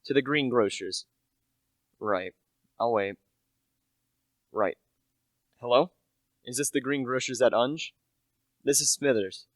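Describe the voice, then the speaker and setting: A man's voice: medium pitch, slow pace, pauses often, dry recording, clean studio sound, natural voice. A young man acting as a humble, anxious salesman telling a murder tale in an amateur radio play. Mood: businesslike. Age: teenager to young adult. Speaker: actor, narrator.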